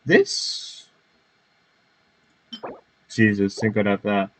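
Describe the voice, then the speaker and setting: A man giving casual, off-the-cuff let's play commentary, halting and repeating his phrases as he goes. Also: fairly low pitch, big pitch swings. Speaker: gaming video host.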